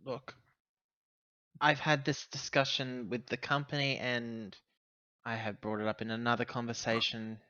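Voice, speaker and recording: A man playing an earnest, conciliatory company officer on a spaceship, halting and placating, in a tabletop game. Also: mid-range voice, little pitch movement, sharp tone, no echo, highs cut off. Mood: placating, weary.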